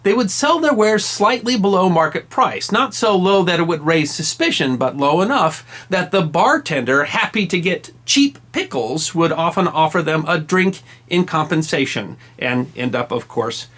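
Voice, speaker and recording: Lively, wry male history narrator. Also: fairly high pitch, a little slow. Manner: wry, emphatic storytelling with dry asides. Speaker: history channel host.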